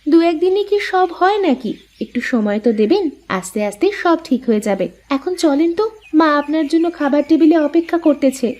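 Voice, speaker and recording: A woman's voice: high voice, animated, moderate pace, darkish tone. A woman voicing a sweet, respectful young cartoon bride who speaks in a coy, polite, teasing way. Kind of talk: cartoon voice acting.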